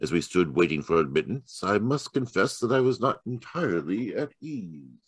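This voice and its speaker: Man playing a husky, expressive Victorian storyteller. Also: deep voice, moderate pace, no room sound, heavily acted. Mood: grave, reflective. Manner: formal, storytelling. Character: measured Victorian doctor recounting a mystery to a friend. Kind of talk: old-time radio mystery narration.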